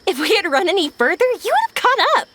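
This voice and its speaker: Yoimiya, bubbly high-voiced young woman. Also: sing-song, fast talker, heavy background noise. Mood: cheerful, playful. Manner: bubbly, encouraging. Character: Yoimiya, cheerful, energetic young woman, warm-hearted and playful. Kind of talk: anime video game voice acting.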